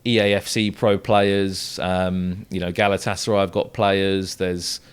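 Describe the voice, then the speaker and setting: A man's voice: low-pitched, level tone, unhurried, smooth. A young man from the south coast of England with a southern English accent, an esports commentator talking casually as a podcast guest. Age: young adult.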